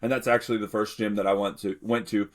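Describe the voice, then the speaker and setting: A young man from Alabama, telling his story in a relaxed, rambling way as a podcast guest. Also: on the low side, fast talker. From Alabama, United States. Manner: relaxed, rambling, many fillers and restarts. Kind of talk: casual interview storytelling.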